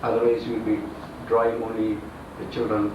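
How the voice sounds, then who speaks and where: Echoey, distant Indian swami preaching. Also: male voice, fairly low pitch, completely flat delivery, muffled recording. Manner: didactic, halting phrasing with simple explanations. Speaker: swami, spiritual teacher officiating a wedding. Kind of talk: religious discourse at a ceremony. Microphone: far from the speaker. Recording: very echoey room, distant mic, noisy.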